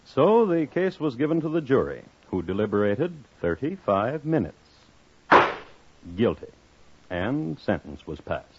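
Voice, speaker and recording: An actor playing a dry, solemn crime historian who narrates a 1950s radio drama in a measured, deadpan way. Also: male voice, mid-range voice, very noisy. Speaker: host narrator. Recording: muffled 1950s radio recording.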